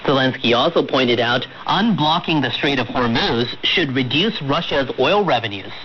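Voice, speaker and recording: A man's voice: mid-range voice, expressive, normal speed. A man reading scripted news as a radio anchor. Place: radio studio, heard through shortwave reception. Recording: muffled shortwave radio broadcast with static.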